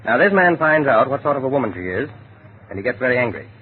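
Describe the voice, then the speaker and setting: An actor playing a calm, shrewd, educated doctor turned amateur sleuth in a 1940s radio detective drama, speaking in a measured, deliberate way. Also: male voice, mid-range voice, husky. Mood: reasoning. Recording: noisy, tinny vintage radio recording.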